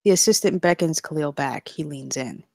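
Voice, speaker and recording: A woman with a notably low voice reads screenplay action lines. It is scripted narration for a table read. The sound is dry, with little room around it. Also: moderate pace, creaky, darkish tone, dry recording. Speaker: stage directions narrator.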